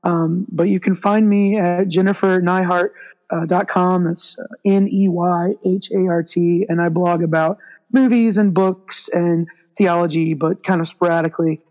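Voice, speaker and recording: A woman with a raspy, hoarse voice from a cold, a podcast guest talking casually and apologetically. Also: on the low side, steady tone, normal speed, dull audio. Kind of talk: casual chat.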